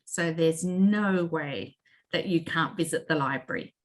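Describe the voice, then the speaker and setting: A woman's voice: low-pitched, measured pace. A woman hosting an online library session in an informal, conversational style. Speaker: library host and moderator.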